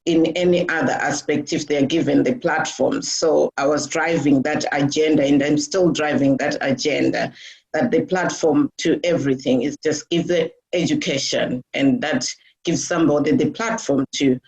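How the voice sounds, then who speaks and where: A woman's voice: deep voice, steady tone, moderate pace, gravelly, darkish tone. A middle-aged woman from Zimbabwe being interviewed, talking with many fillers and restarts. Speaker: guest. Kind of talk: casual interview talk. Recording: dull lo-fi audio.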